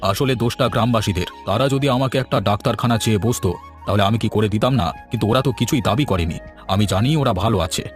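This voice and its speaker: A man's voice: on the low side, fast, soft background music, close to an everyday voice. An actor playing a mild, self-excusing village headman in a cartoon. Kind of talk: cartoon voice acting.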